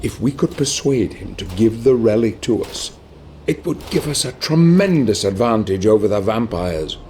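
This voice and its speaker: An actor playing a grave, scheming knightly ally who narrates a dark fantasy game in solemn, measured, formal phrases. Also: male voice, lowish voice, lively intonation, a little hiss or hum, close to an everyday voice. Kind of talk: dramatic scripted game narration.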